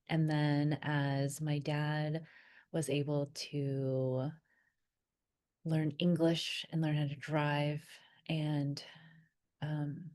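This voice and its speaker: A woman with a low, mellow voice speaks slowly and softly. She tells personal memories in a reflective, hesitant way, often pausing to search her thoughts. Her first language was Vietnamese. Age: adult. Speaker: wellness creator.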